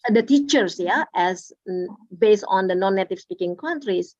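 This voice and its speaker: A woman answering questions at an online workshop, speaking haltingly with many fillers and restarts. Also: medium pitch, animated, a little mellow, dry recording, lo-fi sound. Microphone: video call.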